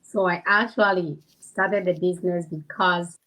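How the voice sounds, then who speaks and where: A woman's voice: lowish voice, fairly even intonation, unhurried, sharp tone, rough recording. A woman with a Cameroonian accent chatting casually as the guest in an interview. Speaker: interview guest, kindergarten teacher.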